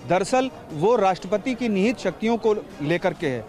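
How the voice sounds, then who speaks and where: A man in his forties, a field reporter speaking into a handheld mic outdoors, explaining a court ruling in a measured way. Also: high-pitched, lively intonation, darkish tone. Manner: measured explanatory, glossing terms. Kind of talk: live reporting from outside a court. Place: outdoors by a street. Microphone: handheld reporter microphone.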